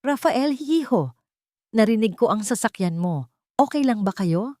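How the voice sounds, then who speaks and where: Casting: meddlesome, theatrical older woman for a story, suspicious and dramatic, voice pitched up performed by a female reader. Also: animated, a little slow, warm tone, no echo, studio quality. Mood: worried, dramatic. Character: nosy, melodramatic, suspicious older woman neighbor.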